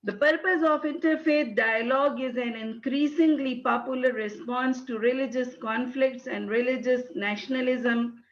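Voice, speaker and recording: A woman from Pakistan, English not her first language, giving a hesitant webinar talk full of fillers. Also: on the high side. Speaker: guest speaker. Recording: dull lo-fi webinar audio.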